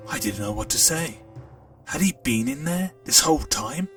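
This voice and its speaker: A man narrating a short audiobook in the first person with an expressive storytelling delivery. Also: medium pitch, normal speed. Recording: distant mic, faint music.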